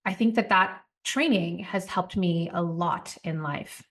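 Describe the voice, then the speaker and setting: A woman's voice: fairly low pitch, a little slow. Guest, woman in her 40s, founder recounting her path, reflective and chatty. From Puerto Rico. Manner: reflective, storytelling, animated asides. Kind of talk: podcast interview.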